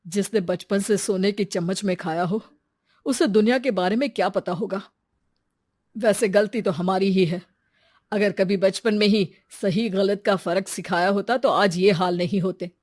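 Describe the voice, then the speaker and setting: A woman's voice: mid-range voice, fairly even intonation, moderate pace, a little mellow, lightly acted. A woman voicing a concerned elder brother in a cartoon moral story, earnest and moralizing. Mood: reproving. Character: responsible, concerned elder brother, patiently reproving.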